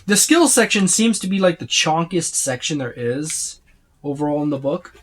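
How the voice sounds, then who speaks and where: A man's voice: a little high, animated, normal speed, a bit bright, slight background noise. A man giving a casual, unscripted walkthrough as a reviewer, rambling and listing items as he browses. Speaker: tabletop game book reviewer.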